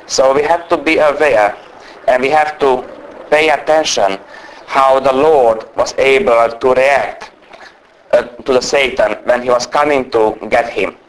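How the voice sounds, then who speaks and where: A man giving a sermon as a guest preacher. He speaks English with a strong non-native accent, talks fast by nature and tries to slow down, and sounds under the weather with a cold. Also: medium pitch, a little hiss or hum, low-quality recording. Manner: naturally fast talker trying to speak slowly. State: unwell with a cold or flu.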